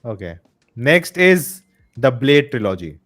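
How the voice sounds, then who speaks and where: A man's voice: mid-range voice, big pitch swings, takes it slowly, a bit bright. A man hosting a live stream, upbeat and reading out chat votes. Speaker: stream host.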